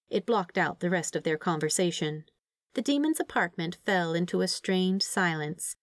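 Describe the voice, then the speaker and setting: A woman reading fan fiction aloud as an expressive, scripted story narration. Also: fairly low pitch, no echo, studio quality.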